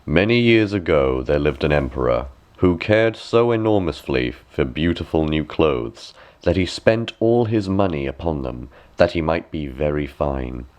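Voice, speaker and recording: Deep-voiced, animated male storyteller. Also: unhurried, hardly any pauses. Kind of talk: expressive scripted fairy-tale narration.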